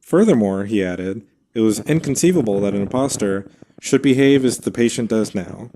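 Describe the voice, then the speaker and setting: A man with a fairly low voice reads a scripted history story as podcast host. He is measured, stepping out for casual asides. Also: expressive, mellow, slight background noise, rough recording. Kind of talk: reading a scripted history narration.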